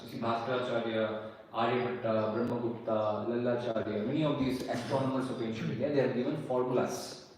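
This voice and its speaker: A man's voice: mid-range voice, darkish tone, slight background noise. A man giving a lecture on history and archaeology and answering audience questions, explaining patiently and repeating key points. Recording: echoey hall, mic far off, faint ambience.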